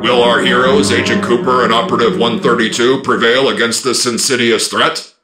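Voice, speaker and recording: A man narrating in the style of an old radio serial, with dramatic, measured announcer delivery. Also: medium pitch, level tone. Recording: echoey, loud music underneath.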